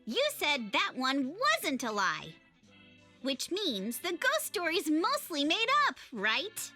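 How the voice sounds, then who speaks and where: A spirited young lady from an anime game. She is shrewd, bossy and teasing, with a high, bright voice that jumps around expressively over music. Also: huge pitch swings, slow pace, heavily acted. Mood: brisk, bargaining. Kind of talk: video game voice acting.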